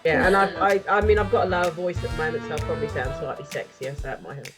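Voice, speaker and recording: A woman's voice: low voice, very fast, over music. A woman co-hosting a podcast, chatty and cheeky, who opens the episode for listeners. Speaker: podcast co-host. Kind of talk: casual banter, then opening the episode.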